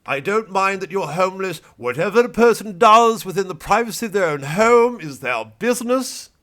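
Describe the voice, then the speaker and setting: A pompous teenage fogey played by a man who frets and stammers over everything with prim disapproval. His voice sits high and sounds bright and pinched. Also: full character voice. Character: pompous self-declared 17-year-old male fogey, prissy. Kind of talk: satirical comedy monologue.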